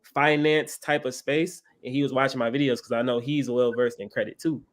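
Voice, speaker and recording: A man's voice: mid-range voice, fairly even intonation, brisk. A 24-year-old man, an interview guest who teaches about credit, talking casually and often repeating himself. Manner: repetitive, leans on "right?".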